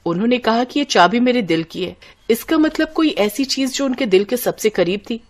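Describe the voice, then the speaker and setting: A woman's voice: on the high side, expressive, normal speed. A woman voicing a clever, confident young elder sister from a village in a scripted story. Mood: knowing.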